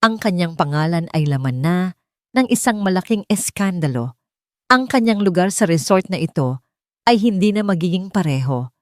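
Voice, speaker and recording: Low-voiced woman narrating a story. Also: animated, moderate pace, dry recording, studio quality. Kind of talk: scripted dramatic audiobook narration.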